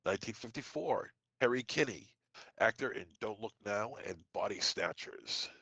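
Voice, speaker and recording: A man with a head cold co-hosting a podcast, reading dates and film titles with quick offhand asides. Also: fairly low pitch, expressive, pushed and bright, slightly rough, dry recording. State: congested from a cold. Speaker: podcast co-host. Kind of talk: casual podcast segment reading trivia.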